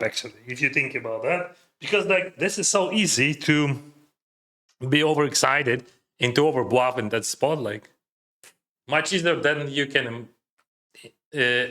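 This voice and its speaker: A man's voice: mid-range voice, sharp tone. A man from Ukraine with a Ukrainian accent and Russian as his first language, chatting casually on a poker live stream, advising viewers with lots of "like" and "uh". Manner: chatty, advising, heavy use of "like" and "uh". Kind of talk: casual live-stream commentary, answering chat.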